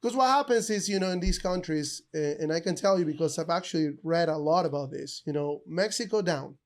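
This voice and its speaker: A man from Ecuador, a podcast guest, telling stories in an animated, casual way, full of "bro" and "dude". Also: fairly high pitch, a little fast. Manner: animated storyteller, says bro and dude a lot.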